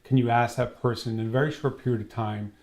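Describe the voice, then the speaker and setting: A man's voice: mid-range voice, fairly even intonation, fast talker, a little mellow. A man speaking as a security trainer in an interview, explaining conversationally and illustrating points with quoted dialogue.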